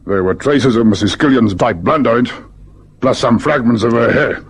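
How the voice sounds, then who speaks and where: A senior police brigadier in an old radio crime drama. The voice is husky and mid-pitched, matter-of-fact and used to command. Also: male voice, normal speed. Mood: matter-of-fact, authoritative.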